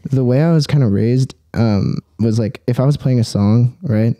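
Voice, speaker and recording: A young adult man telling personal stories casually as a podcast guest, halting and rambling, with frequent swearing. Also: mid-range voice, dark tone, no room sound, clean studio sound.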